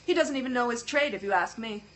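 A woman's voice: a little high, lively intonation, fast talker, voice pushed up, close to an everyday voice, poor audio. A woman reading aloud, voicing a bossy, stubborn young boy who talks scornfully. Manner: scornful, demanding.

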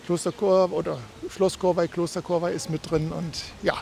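A man's voice: high voice, little pitch movement, normal speed, creaky, a little mellow. A man giving considered answers as a visitor in an unscripted street interview. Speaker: garden show visitor interviewed. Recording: outdoor interview with ambient noise.

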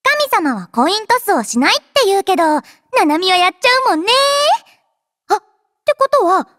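A woman's voice: very high-pitched, big pitch swings, normal speed, fairly bright tone, no room sound, studio quality, full character voice. A woman voicing a cheerful, childlike android girl in an anime game, playful and boastful in manner. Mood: showy, playful. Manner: playful, childlike, refers to herself by name. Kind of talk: anime game character voice lines. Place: dry studio.

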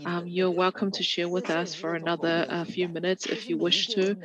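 A woman giving a live English interpretation of a talk, halting often with ums, uhs and restarts. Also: fairly low pitch, level tone, lo-fi sound, a crowd in the background. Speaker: simultaneous interpreter. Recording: second voice speaking faintly underneath.

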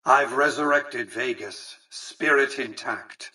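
Game role: ancient American male industrialist, calculating and condescending, formal measured diction, forceful bright tone, slightly off-mic. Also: mid-range voice, breathy edge, distant mic, exaggerated voice, rough recording. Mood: smug, controlled. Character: imperious, calculating, centuries-old male industrialist tycoon. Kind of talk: video game dialogue acting.

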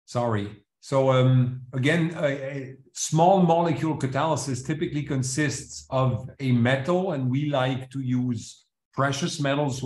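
A man from Switzerland who grew up with French and English delivers an academic lecture at a middle pitch. He explains in a measured way, restarting now and then, and his voice comes over a video call with plenty of room echo. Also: darkish tone. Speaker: seminar speaker, chemist. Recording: roomy echo, live online seminar.

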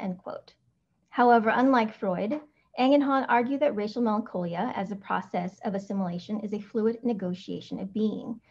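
A woman in her thirties who grew up in the Philippines, with Tagalog as her first language, reading a prepared conference paper in a measured, deliberate way over a video call from home. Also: mid-range voice, mellow. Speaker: academic speaker. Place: home room, indoors. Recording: slightly dull video call audio.

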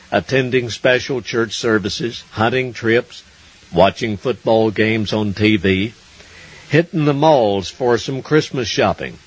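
Older man, American accent, low pitch, husky, folksy scripted announcing. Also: rough recording. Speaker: radio show host and announcer. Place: wooden stage in a barn-style theatre. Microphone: stand microphone.

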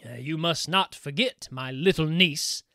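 A man's voice: a little high, unhurried, gravelly, bright, forceful tone, no room sound, studio quality, pitched below the performer's own voice, heavily acted. A man voicing a sly, mocking male water spirit who speaks with grand self-importance.

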